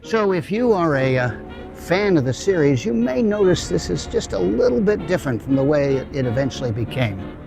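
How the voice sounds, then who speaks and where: A man's voice: on the high side, big pitch swings, moderate pace, gravelly, over music, rough recording. A man presenting a scripted, folksy introduction as the host of an audio drama show.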